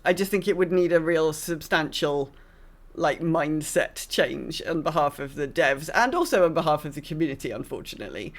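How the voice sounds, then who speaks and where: A woman's voice: deep voice, expressive, normal speed. A woman co-hosting a podcast, chatting casually about video games in an informal, opinionated way, with some mild swearing. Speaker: podcast co-host.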